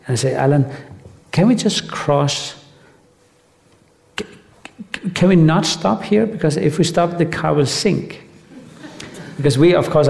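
A man with a gravelly edge to his mid-pitched voice tells a story in a rambling, animated way, restarting his sentences as he goes. He is speaking on stage in a large hall, and the sound carries a long, echoing reverb. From Denmark. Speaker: guest artist. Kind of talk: anecdotal stage conversation. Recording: echoey hall.

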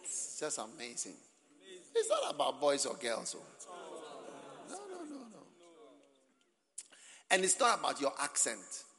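Animated Ghanaian preacher in an echoing hall. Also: male voice, on the high side, a little slow, long pauses, noisy background. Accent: Ghanaian English. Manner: animated, swinging from soft asides to shouting, call-and-response with the crowd. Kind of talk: sermon at a church camp. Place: large hall. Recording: long reverb of a large hall.

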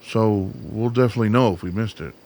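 A man's voice: on the low side, fairly quick, vocal fry, a little mellow, slight background noise. An actor playing a skeptical, sarcastic grown son on the run in a radio play, bickering as he talks. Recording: long hall reverb.

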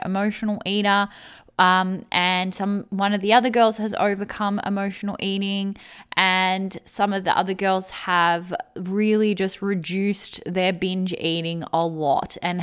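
A woman's voice: mid-range voice, fairly bright tone, clean tone. A woman hosting a podcast, a little sick with a sore throat, talking casually and upbeat with many ums. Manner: chatty, upbeat, frequent ums. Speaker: podcast host and weight loss coach.

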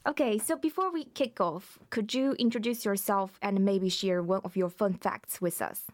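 A woman's voice: medium pitch, normal speed, no echo. A woman hosts a podcast interview, opening with a scripted introduction before moving into plain, direct questions. Her voice sits mid-range and is recorded close and dry, with no room sound. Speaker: podcast host. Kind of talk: interview hosting.

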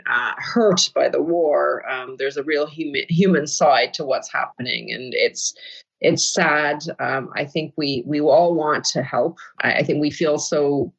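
Halting middle-aged guest, slightly muffled. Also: mid-range voice. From Canada. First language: Ukrainian. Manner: halting, restarts phrases while searching for words. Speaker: podcast guest. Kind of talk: interview answers.

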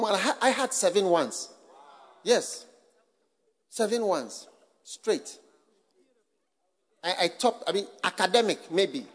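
High-voiced man preaching in an echoing hall. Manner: repetitive, rhetorical, builds to shouting. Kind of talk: sermon. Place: large full hall. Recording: long hall reverb.

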